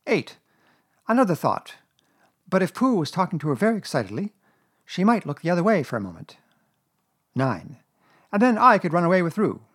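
Man playing a loud, bossy, high-voiced storybook rabbit. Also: very animated, husky, exaggerated voice. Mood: pompous, declaiming. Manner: brisk, emphatic, pompous, lecturing. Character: bossy, self-important male rabbit who makes plans. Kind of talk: children's storybook character reading.

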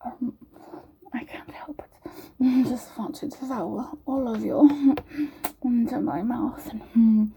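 A woman's voice: a little high, mellow, a little hiss or hum, low-quality recording. A woman putting on a giggly German-accented tavern maid. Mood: whispery. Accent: put-on German accent. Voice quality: breathy, whispered. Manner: giggly, teasing, slow. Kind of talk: roleplay audio.

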